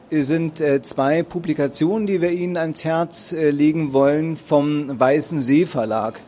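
A man's voice: on the high side, darkish tone, slight background noise. Tired man with a Berlin accent, hesitant. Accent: Berlin German. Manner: hesitant, many ums and uhs. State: tired after a long fair day. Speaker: publisher presenting at a book fair stage. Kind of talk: spontaneous presentation to an audience. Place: book fair hall.

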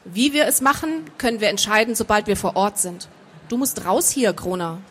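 A woman voicing a calm, caring man in a storybook, quietly reasoning and insistent. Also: medium pitch, moderate pace, husky. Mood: calm urging.

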